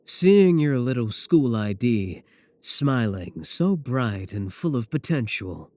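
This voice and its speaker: A man playing a possessive werewolf professor in an intimate ASMR roleplay, speaking deliberately with coolly affectionate menace. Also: mid-range voice, big pitch swings, no echo. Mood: tender, hungry. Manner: slow, deliberate, soft chuckles, pet names. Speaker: voice actor in a roleplay audio.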